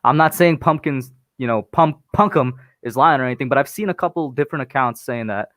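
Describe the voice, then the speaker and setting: A man's voice: medium pitch, a little fast, a bit bright, no echo, studio quality. A man from Guyana talking casually as a panelist on a sports livestream.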